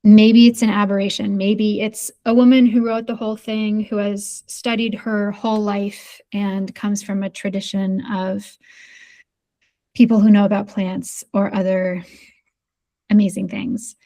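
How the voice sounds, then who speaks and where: A woman's voice: medium pitch, level tone, measured pace, dark tone. A woman in casual podcast conversation, speaking thoughtfully and hesitantly with many fillers. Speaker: podcast guest.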